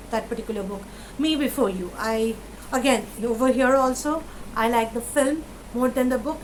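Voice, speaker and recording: A woman with an Indian English accent giving casual book recommendations to the camera, pausing often with fillers and restarting her sentences. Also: on the high side, slight background noise, rough recording. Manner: hesitant, many fillers and restarts. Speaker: book vlogger.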